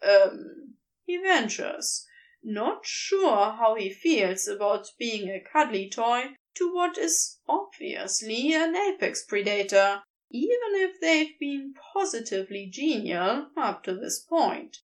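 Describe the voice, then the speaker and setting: A woman narrating a fan fiction story aloud with unhurried, expressive delivery. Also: a little high. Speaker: podfic reader.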